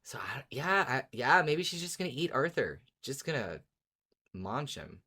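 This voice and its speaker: Casting: podcast co-host of unspecified sex, medium pitch, casual fan chat, enthusiastic, repeats phrases while thinking aloud. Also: sing-song, measured pace, sharp tone, slightly rough. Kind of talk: casual podcast discussion of a fiction series.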